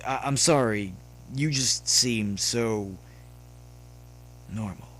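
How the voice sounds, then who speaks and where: Cynical college stallion for story reading, voiced by a man: lower register, hesitant, socially awkward, dry, slight noise behind. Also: rough recording. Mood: flustered. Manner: halting, droning, deadpan. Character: cynical, awkward young male pony college student.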